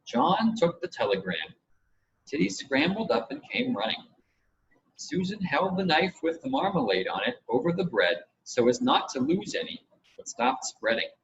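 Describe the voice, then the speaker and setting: Expressive male storyteller, lively pitch. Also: moderate pace. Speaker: audiobook reader. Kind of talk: scripted storybook narration. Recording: dull audio with faint noise.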